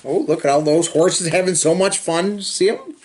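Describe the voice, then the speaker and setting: A man reading a children's picture book aloud in an expressive, sing-song way, with drawn-out phrasing and chatty asides. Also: sits high. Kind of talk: animated storybook read-aloud with chatty asides.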